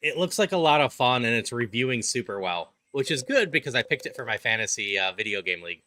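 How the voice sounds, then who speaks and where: A man's voice: medium pitch, sing-song, fast talker, pushed and bright, no echo. A man who streams video games, chatting casually with his viewers. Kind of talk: casual livestream chat and game read-aloud. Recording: game music under the voice. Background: game music.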